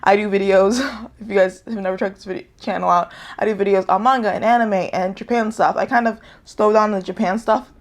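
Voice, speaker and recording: Young woman with a gravelly, rambling chat. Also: medium pitch, steady tone, brisk. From United States. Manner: rambling, self-correcting, laughs often. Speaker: vlogger. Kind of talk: casual vlog chat.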